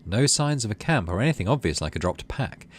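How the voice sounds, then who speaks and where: A man's voice: fairly low pitch, big pitch swings, a little fast, slight background noise. A man doing let's play commentary on a game, reading narration aloud and thinking through choices in tentative asides. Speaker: gaming video host.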